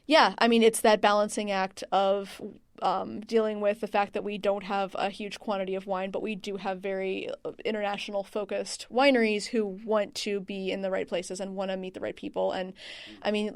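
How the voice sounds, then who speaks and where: A woman's voice: medium pitch, clear, resonant tone, dry recording, clean studio sound. A woman answering as an interview guest in a casual, chatty, rambling way, with plenty of "like" and "you know". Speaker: interview guest, wine region marketing manager.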